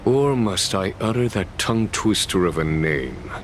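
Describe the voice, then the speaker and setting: A man's voice: deep voice, animated, measured pace, background noise. A man voicing a composed, shrewd general in a video game, speaking formally with dry authority. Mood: dry teasing.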